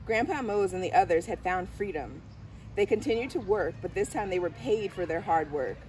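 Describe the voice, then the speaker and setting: A woman's voice: on the low side, animated, moderate pace, a little mellow. A middle-aged woman reading a picture book aloud in a storytelling style. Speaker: school diversity director reading aloud. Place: outdoors on a garden bench under trees. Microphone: camera a few steps away. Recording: distant camera mic, outdoor background noise.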